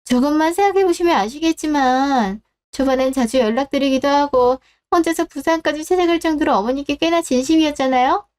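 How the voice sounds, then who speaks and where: A scheming daughter-in-law in her thirties talks with mocking condescension under her politeness, voiced by a woman for a radio drama. Her voice sits high. She sounds sure of herself and openly scornful. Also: a little mellow, natural voice.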